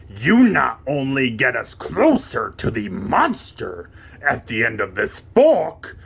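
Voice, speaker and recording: A man voicing a lovable, easily frightened furry monster in a children's storybook puppet show, with a raspy voice and melodramatic delivery. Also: on the high side, bright, forceful tone, full character voice. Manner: melodramatic, drawn-out, emphatic delivery without contractions.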